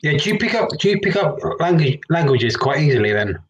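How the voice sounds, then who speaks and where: A man's voice: on the high side, sing-song, fairly quick. A man chatting casually on a video call, dropping in short questions and prompts. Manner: brief friendly prompts and questions. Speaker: guest on a video hangout. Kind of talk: casual chat. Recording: roomy, distant, dull webcam audio.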